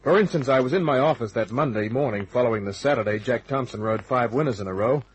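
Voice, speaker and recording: An actor playing a wry, cocky private detective, narrating and talking in a dry, laconic old-time radio style. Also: male voice, mid-range voice, fast, breathy edge, natural voice, poor audio. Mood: wry narration.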